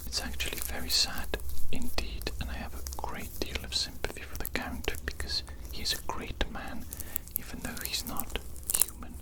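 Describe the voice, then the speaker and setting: A woman playing a shady, evil mad doctor in a whispered ASMR roleplay, formal and deliberate, politely sinister and repeating phrases. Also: natural voice, rough recording. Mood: earnest, wistful.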